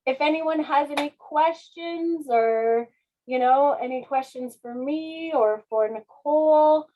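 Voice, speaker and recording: A woman's voice: sits high, slow, muffled recording, slight background noise. A woman, a children's author, speaking at a public reading of a picture book. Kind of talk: slow storybook narration.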